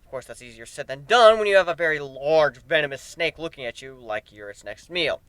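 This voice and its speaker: An amateur male narrator reads a story in the first person with dramatic flair. His voice is fairly high and bright, with very lively pitch movement, in a dry recording. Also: a little fast, clean tone, no echo.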